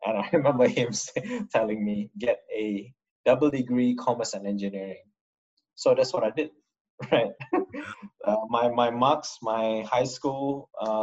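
A man's voice: mid-range voice, a little mellow, slightly rough, lo-fi sound. A man from Indonesia, a startup founder, answering interview questions casually with frequent restarts and fillers.

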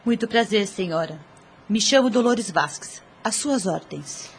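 A humble maidservant with a breathy, slightly rough voice and a Brazilian accent. She is deferential and eager to serve, in formal radio soap style. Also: medium pitch, expressive, unhurried, full character voice. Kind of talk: radio soap opera acting.

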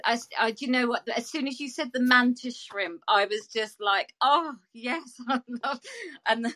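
A woman's voice: on the high side, normal speed, bright, forceful tone. A woman artist speaking as a guest on an online panel over a video call, gushing with enthusiasm.

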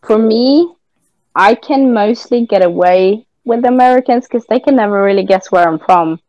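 A woman's voice: medium pitch, normal speed, a little mellow, no echo, highs cut off. A Norwegian woman with a British-leaning accent with a Norwegian tinge, hosting a podcast in a chatty way with many fillers. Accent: Norwegian-tinged British English. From Norway. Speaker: podcast host. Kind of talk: casual chat.

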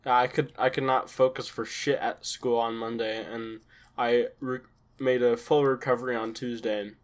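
A teenage boy tells a story in a relaxed, halting way on a casual podcast. His voice sits in a middle range. He speaks over a video call, and the connection keeps dropping. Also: medium pitch. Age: teens. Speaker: podcast co-host.

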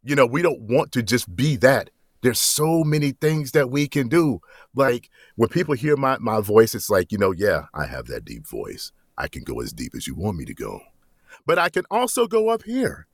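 A man who works as a voice actor, speaking as an interview guest in a relaxed way and often checking in with "you know.". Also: mid-range voice, moderate pace, dry recording, clean studio sound. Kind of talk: conversational interview.

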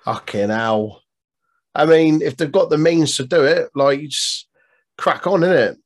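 A man's voice: a little high, measured pace. A man co-hosting a podcast in casual chat, rambling and restarting sentences, swearing and laughing often. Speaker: podcast co-host.

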